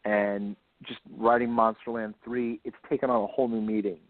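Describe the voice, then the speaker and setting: A man speaking as an author guest in a casual interview, enthusiastic and rambling, repeating words for emphasis, with a slightly husky voice. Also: fairly low pitch, flat delivery, normal speed. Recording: lo-fi phone-like line, roomy echo.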